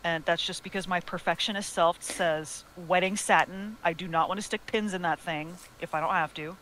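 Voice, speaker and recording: A woman's voice: on the low side, steady tone, normal speed, a bit bright, slight background noise, rough recording. A woman co-hosting a podcast in casual chat, talking in a chatty, detailed, self-deprecating way. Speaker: podcast co-host.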